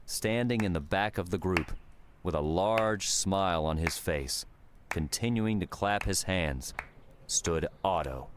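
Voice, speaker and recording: Male audiobook narrator: very low voice, markedly unhurried, dramatic scripted storytelling. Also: background noise. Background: music.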